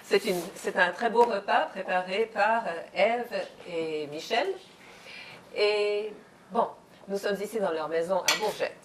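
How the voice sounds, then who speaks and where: Slow, measured woman host. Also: medium pitch, a little hiss or hum. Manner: slow and measured, searching for words. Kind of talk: hosted interview. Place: dinner table in a home.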